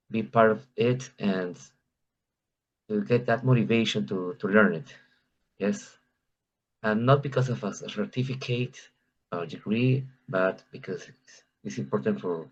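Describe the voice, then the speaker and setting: A man with a low, husky voice speaks in an online discussion. He goes haltingly, repeating words and listing points earnestly. The audio is dull and muffled. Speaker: participant in an English practice meeting.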